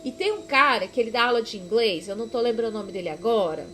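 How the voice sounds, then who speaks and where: A woman's voice: fairly high pitch, expressive, quick pace. A Brazilian woman with a Brazilian accent, chatting casually in a rambling devotional vlog, correcting herself and laughing at herself. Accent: Brazilian Portuguese.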